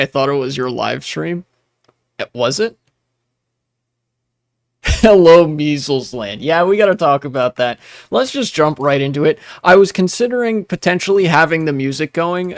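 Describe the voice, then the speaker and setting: A man's voice: medium pitch, no room sound. A young man streaming while gaming, talking casually with many restarts and blunt asides.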